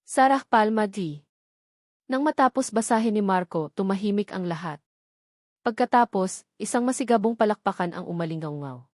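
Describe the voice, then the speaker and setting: A woman's voice: medium pitch, animated, brisk, a little mellow, no room sound, clean studio sound. A woman with a Filipino accent narrating a scripted romantic story in a sentimental, earnest read-aloud manner. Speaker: audiobook narrator.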